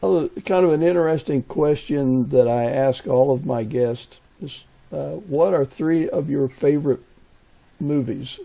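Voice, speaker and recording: Very dark-voiced man, creaky podcast host. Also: on the high side, measured pace. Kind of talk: casual conversational interviewing.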